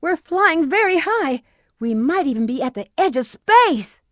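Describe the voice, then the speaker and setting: A woman's voice: high voice, very animated, measured pace, dry recording, studio quality. A woman voicing a sly, playful bat treasure hunter in a video game, teasing and breezy. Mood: surprised.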